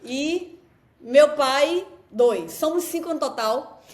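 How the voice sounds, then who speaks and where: A woman's voice: sits high, lively intonation, slow. A woman from Salvador with a Bahian accent, an actress co-hosting a podcast, playful and joking in casual chat. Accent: Bahian Brazilian Portuguese. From Salvador, Bahia, Brazil. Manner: playful, joking, laughs easily.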